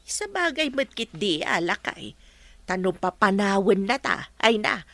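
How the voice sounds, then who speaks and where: Radio play: woman as chatty village gossip, animated pitch. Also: measured pace, no echo, exaggerated voice. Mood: gossipy.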